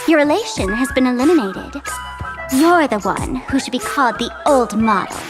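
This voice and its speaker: A high-pitched, smug young trickster girl in a video game. She teases theatrically and swings widely in pitch, with music playing behind her. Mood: sly, challenging. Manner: teasing, theatrical, speaks of herself in third person. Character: mischievous young female masked trickster streamer, smug and theatrical. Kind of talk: video game character acting.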